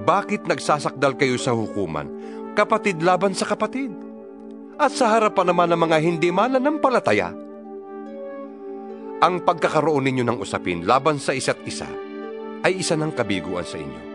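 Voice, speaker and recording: A man giving a dramatized, earnest reading of scripture, preaching with rhetorical questions. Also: fairly high pitch. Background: background music.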